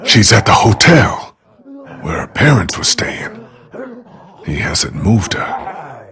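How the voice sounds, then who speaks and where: An actor playing a desperate, earnest, bitten survivor in a zombie video game, sounding strained. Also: male voice, lowish voice, big pitch swings, slow pace, a crowd in the background, heavily acted. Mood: weary, guarded. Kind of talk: dramatic video game acting.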